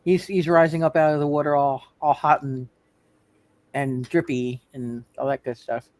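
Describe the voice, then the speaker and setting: A man running a tabletop roleplay game, halting and often repeating his phrases. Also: a little high, fairly even intonation, a little mellow, no room sound. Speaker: game master.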